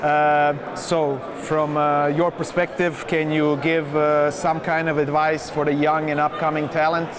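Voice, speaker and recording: A man asking interview questions politely and a little haltingly. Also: fairly high pitch, completely flat delivery, noisy background. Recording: busy event crowd behind the voice.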